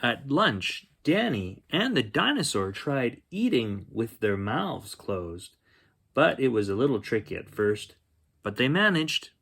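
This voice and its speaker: A man hosting a children's bedtime story reading, expressive, with chatty asides spoken straight to young listeners. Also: medium pitch, measured pace, creaky, breathy edge. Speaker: children's story reader and host. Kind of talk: bedtime picture book read-aloud with chatty asides.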